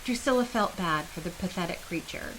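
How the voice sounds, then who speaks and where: A woman's voice: fairly low pitch, expressive, fairly quick, fairly bright tone, slight background noise, poor audio. A woman reading scripted fantasy story narration as the storyteller. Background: music.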